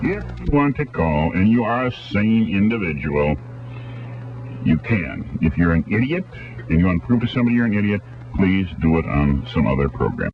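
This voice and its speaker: Guy radio host, gravelly low voice, talking to callers, thin tinny audio. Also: very animated, moderate pace. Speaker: radio talk show host. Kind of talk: live call-in hosting. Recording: tinny band-limited radio recording.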